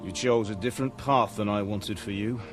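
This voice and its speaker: An actor playing the ghost of a stern, wise blacksmith father in a game cutscene, speaking in a steady, measured way over a music score. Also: on the low side, natural voice. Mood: gentle. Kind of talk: video game cutscene acting.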